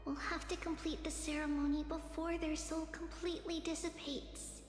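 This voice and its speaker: An actress voicing a solemn, gentle priestess who leads a sacred rite in a video game, speaking formally and reverently. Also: female voice, sits high, level tone, normal speed, lightly acted. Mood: solemn, gracious. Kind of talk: ceremonial video game dialogue. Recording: long hall reverb under loud game music.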